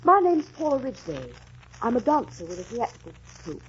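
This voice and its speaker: Lively, kind young dancer. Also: female voice, a little high. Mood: gentle, reassuring. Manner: brisk, coaxing, reassuring. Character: kind, brisk young woman dancer befriending a lost soldier. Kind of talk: 1940s radio drama acting. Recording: muffled, noisy 1940s radio recording.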